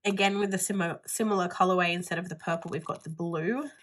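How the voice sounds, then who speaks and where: A woman's voice: lowish voice, fairly even intonation, fast, fairly bright tone, slight background noise. A woman with a stuffy nose and a nasal voice, chatting casually through a planner haul video while she handles her items. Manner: chatty, rambling with "like" fillers. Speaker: planner vlogger.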